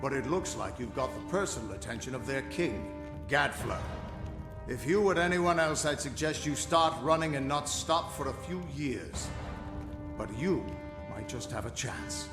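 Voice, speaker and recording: A man's voice: medium pitch, animated, moderate pace, reverberant, over music, natural voice. A man playing a middle-aged, boastful but loyal veteran adventurer in a fantasy game, with a theatrical, earnest delivery. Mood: encouraging, wry. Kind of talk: fantasy game dialogue acting.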